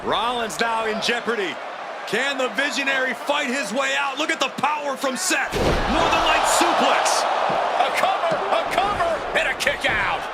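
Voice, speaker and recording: A man calling a wrestling match as play-by-play commentator, with excited, emphatic and dramatic delivery. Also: very high-pitched. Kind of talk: excited live sports commentary. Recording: loud arena crowd, noisy game capture.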